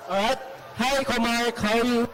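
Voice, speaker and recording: A man's voice: extremely high pitch, steady tone, measured pace, a bit bright, rough recording. A teenage boy co-hosting a school talk show, upbeat and rehearsed, with fillers when he asks questions. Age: teens. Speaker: student host. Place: school hall.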